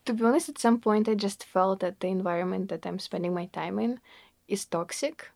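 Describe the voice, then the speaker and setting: Young Russian-accented woman, gravelly. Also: mid-range voice, brisk, a little mellow, no room sound. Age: young adult. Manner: earnest, fillers like "like" and "you know", laughs often. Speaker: interviewee. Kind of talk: casual conversational interview.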